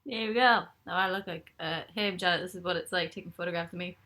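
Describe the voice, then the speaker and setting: Young woman, bright voice, rambling giggly live-stream chat from her bed. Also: on the low side, fast talker. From Ireland. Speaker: livestreamer. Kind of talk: casual live-stream chat, reading comments. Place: bedroom, in bed.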